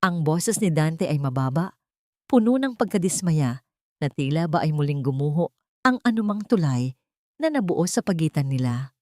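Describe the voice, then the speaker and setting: A woman's voice: sits low, sing-song, moderate pace, mellow, no room sound, studio quality. A woman reading a scripted dramatic love story as an audiobook narrator.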